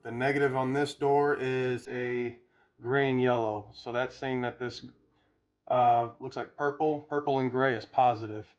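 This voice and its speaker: A man's voice: medium pitch, steady tone, unhurried, lo-fi sound. A man hosting a DIY video, talking casually and plainly through each step as he works. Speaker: host of DIY car audio videos. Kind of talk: casual hands-on tutorial narration.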